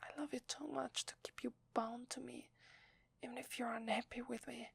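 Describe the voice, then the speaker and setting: Breathy, whispering Italian-accented werewolf wife, acted by a woman. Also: fairly high pitch, little pitch movement, no echo, heavily acted. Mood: remorseful, sad. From Italy. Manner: hesitant, tender, slow with long pauses. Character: sweet, apologetic werewolf wife confessing to her lover. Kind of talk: whispered ASMR roleplay.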